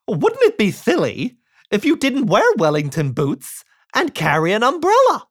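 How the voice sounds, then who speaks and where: A man's voice: sits high, huge pitch swings, unhurried, dry recording, studio quality, pitched above the performer's own voice, full character voice. A man voicing a silly, cheerful storybook character who asks daft questions in a sing-song way. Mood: cheerfully silly. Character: silly, cheerful male character quizzing animals he meets.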